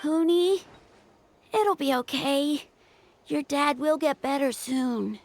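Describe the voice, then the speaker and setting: Kind young kid: high, creaky, gentle, for a game scene. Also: female voice, takes it slowly, soft background music. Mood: consoling. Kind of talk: anime game character acting.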